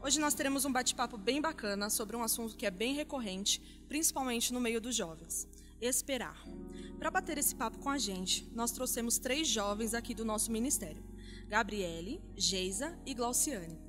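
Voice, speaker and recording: A woman's voice: fairly high pitch, animated, moderate pace, over music. Friendly woman hosting a podcast. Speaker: podcast host. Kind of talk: casual church podcast hosting.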